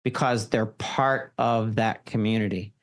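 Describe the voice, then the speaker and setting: A man's voice: lowish voice, steady tone, unhurried. A man hosting a podcast interview, asking long, winding questions with restarts. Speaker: podcast host.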